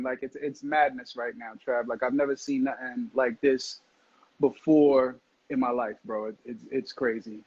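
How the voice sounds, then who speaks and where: A man from Jamaica, a guest in a relaxed chat on a live video call, who repeats words and often says "facts". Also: a little high, breathy edge. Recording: dull lo-fi call audio.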